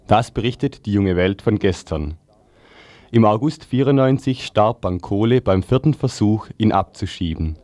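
A man's voice: lowish voice, animated, normal speed, a little mellow, no room sound. A man reading scripted news on the radio as a newsreader. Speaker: news reader.

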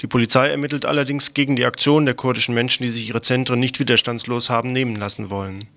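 A male radio news presenter reads from a script. His voice sits in a middle pitch and has a gravelly, creaky edge. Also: fairly even intonation, fast, a bit bright.

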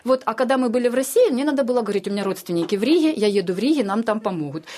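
A woman's voice: a little high, rapid-fire. A woman being interviewed, recounting her own experiences informally and acting out the dialogue she quotes. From Ukraine. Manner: animated retelling that acts out quoted dialogue. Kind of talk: informal personal storytelling in an interview.

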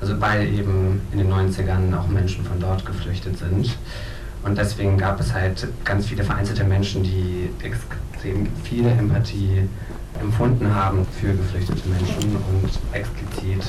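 A man's voice: deep voice, recorded from a distance. A man explaining a film's background informally at a live event. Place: echoey event room. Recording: very noisy, echoey room from afar.

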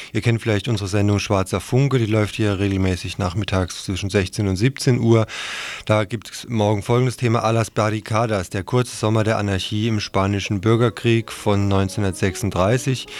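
A man's voice: lowish voice, darkish tone. A man hosting live community radio, reading announcements and addressing listeners informally. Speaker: radio host.

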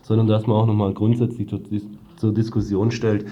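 A man's voice: on the low side, flat delivery, fairly quick. A young man, a student, answering informally and off the cuff in a radio interview, repeating phrases as he goes. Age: young adult. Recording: dull, lo-fi radio recording.

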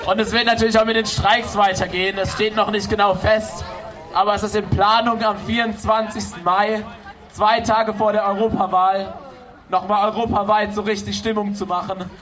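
A man's voice: sits high, flat delivery, normal speed, fairly bright tone. A young man working as a climate strike organizer, making announcements and answering questions outdoors. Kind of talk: rally announcements and interview answers. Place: outdoors in a city square.

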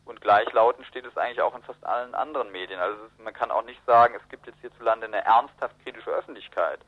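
A man's voice: mid-range voice, fast. A man giving analytical, discursive political commentary as a guest in a radio interview. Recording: roomy, muffled radio interview.

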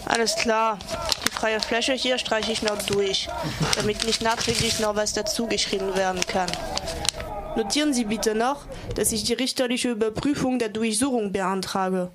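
A woman's voice: fairly high pitch, fairly even intonation, normal speed, close to an everyday voice. A woman acting an assertive activist in an amateur radio play, speaking firmly and curtly with defiance. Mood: defiant, insistent. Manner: firm, curt, defiant. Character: Anna, assertive young activist woman facing a police search. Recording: noisy amateur recording with clicks.

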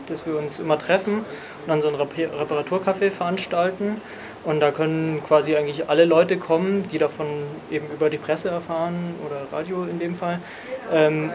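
A man casually describing how the repair café works, with many fillers. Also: a little high. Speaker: café organizer. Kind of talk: interview answers.

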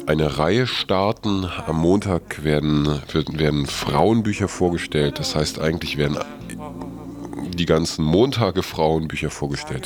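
A man hosting morning radio with loose, improvised announcements, halting and wry, often correcting himself. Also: very deep voice. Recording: music playing behind the voice. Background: music.

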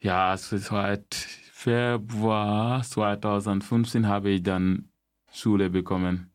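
A young man from Gambia, telling his story in halting German as an interview guest. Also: fairly low pitch, steady tone. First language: not German. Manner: halting non-native German, many restarts and fillers. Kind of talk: casual interview.

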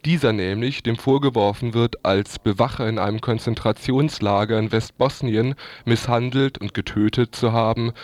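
A man's voice: medium pitch, darkish tone, dry recording. A man presenting a scripted news report on the radio.

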